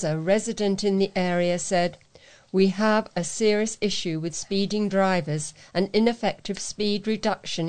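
A woman's voice: fairly low pitch, darkish tone, smooth. Woman reading local news aloud. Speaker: volunteer local news reader. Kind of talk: read-aloud local newspaper report.

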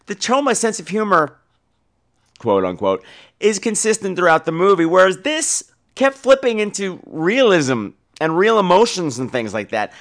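Male podcast host, lively and swooping in pitch, campy and sardonic, foul-mouthed and theatrical in casual comic talk. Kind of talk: casual comic podcast commentary on listener calls.

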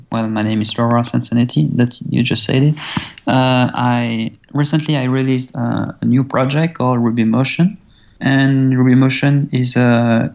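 A man from Belgium with a Belgian French accent, a guest explaining his work in a measured way, with many hesitations. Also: medium pitch, creaky, darkish tone. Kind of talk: explaining in a podcast interview.

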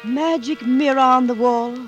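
A woman's voice: sits high, measured pace, lo-fi sound, faint music behind. An actress playing a vain, wicked, jealous queen in an imperious, theatrical 1940s radio drama style. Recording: old 1940s radio recording.

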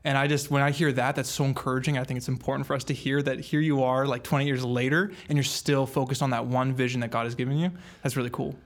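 A man's voice: mid-range voice, level tone, fast, few pauses. A young man, hosting a casual interview in an informal, affirming way. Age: young adult. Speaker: podcast host, interviewer.

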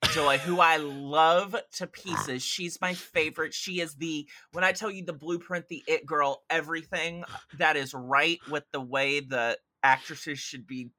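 Casting: male film podcaster, higher-than-average pitch, very bright and forceful, emphatic and opinionated delivery. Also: steady tone, unhurried, few pauses. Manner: emphatic, mildly profane, uses mimicked quotes. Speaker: podcast commentator. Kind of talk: casual opinionated film discussion.

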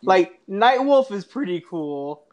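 Male co-host, distinctly high register for a man, relaxed conversational podcast chat. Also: slow pace, lo-fi sound, crowd noise. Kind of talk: casual chat.

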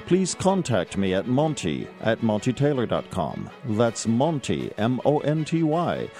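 A man's voice: medium pitch, wildly swooping pitch, unhurried, darkish tone, faint music behind. A man delivering a scripted radio advertisement for his own personal service.

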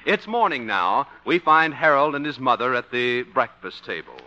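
A man's voice: medium pitch, very animated, moderate pace, pushed and bright. A man announcing and narrating a scripted 1950s radio comedy. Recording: dull old radio recording with music.

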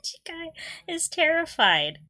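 Bright, animated woman podcaster. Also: extremely high pitch, takes it slowly, no echo, clean studio sound. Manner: giggly, mock-serious banter. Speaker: podcast co-host. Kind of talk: casual fan podcast chat.

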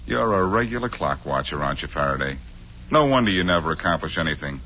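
An actor playing a cocky, quick-witted amateur sleuth who needles people, in 1940s radio style on a muffled old recording. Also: male voice, rumbling low, very animated, slight background noise, full character voice. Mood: needling. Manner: smooth-talking, sardonic, teasing.

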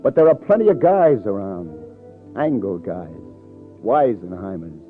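A man's voice: mid-range voice, natural voice. An actor playing a wry, hard-boiled New police detective in a 1950s radio crime drama, with sardonic, clipped tough-guy delivery. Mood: brooding narration. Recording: tinny old radio broadcast, faint music.

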